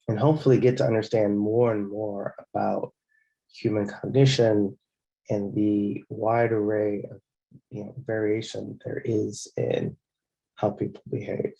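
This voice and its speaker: A man's voice: on the low side, little pitch movement, a little slow, gravelly, warm tone, highs cut off. A man giving an academic conference talk, thoughtful and hedging with fillers. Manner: thoughtful, hedging with "you know" and "uh". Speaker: conference speaker.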